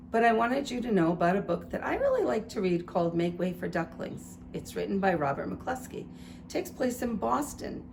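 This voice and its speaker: A woman preschool teacher reading a story aloud to young children in simple, deliberate phrases. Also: lowish voice, gravelly. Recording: faint background noise.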